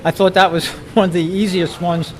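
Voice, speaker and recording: A man's voice: high-pitched, flat delivery, moderate pace, slight background noise. A man hosting a quiz live at a club meeting, calling out to the members in an amateur recording.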